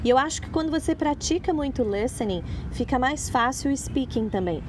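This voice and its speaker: A woman's voice: high voice, lively intonation, brisk, a little mellow. A middle-aged Brazilian woman, an English teacher, talking earnestly in a casual conversation and explaining with examples. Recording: street noise behind.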